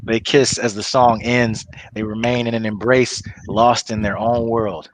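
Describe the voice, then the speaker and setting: A man's voice: lowish voice, flat delivery, a little slow. A man reading stage directions as the narrator of a comedy script table read. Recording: clearly noisy recording with clicks.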